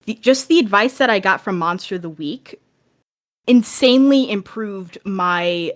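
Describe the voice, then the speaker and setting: A woman's voice: mid-range voice, lively intonation, a little slow. A woman talking casually and enthusiastically as a guest on a podcast, in an earnest way.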